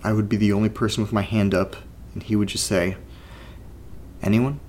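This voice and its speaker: A man playing an uneasy, reluctant character in a horror audio drama, speaking haltingly in a naturalistic monologue, as if muttering to himself. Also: lowish voice, flat delivery, a little mellow, slight background noise, natural voice. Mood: uneasy, subdued. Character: uneasy, reluctant man exploring a creepy place and reading strange letters. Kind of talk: naturalistic found-recording audio drama monologue, partly reading a letter aloud.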